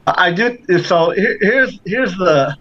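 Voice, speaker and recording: A man co-hosting a casual talk radio show, easygoing and quick to laugh. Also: high-pitched, lively intonation, sharp tone, highs cut off, slight background noise. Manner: laughs often, easygoing banter.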